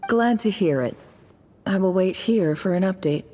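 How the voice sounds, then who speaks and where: A woman playing a cold, cunning young female avenger in a video game, speaking in a smooth, measured, disdainful way. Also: mid-range voice, dark tone, soft background music, natural voice. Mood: cool, calculating.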